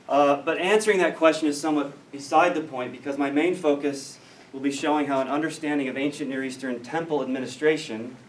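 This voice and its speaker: American man lecturing in an echoey room. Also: mid-range voice. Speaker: academic lecturer in biblical studies. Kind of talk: scholarly lecture. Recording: roomy, distant mic with faint noise.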